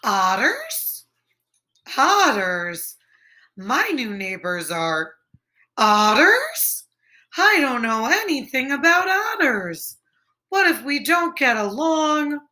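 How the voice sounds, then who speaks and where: A woman's voice: high-pitched, huge pitch swings, slow pace, sharp tone, full character voice. A woman voicing a worried, fussy male rabbit in a children's picture book, hesitant and questioning as he ponders aloud.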